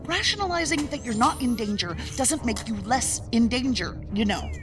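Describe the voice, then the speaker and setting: Woman playing wary exasperated agent, sharp-tongued, high pitch, lively intonation, argumentative, audio drama. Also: normal speed, music in the background, lightly acted. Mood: worried, firm.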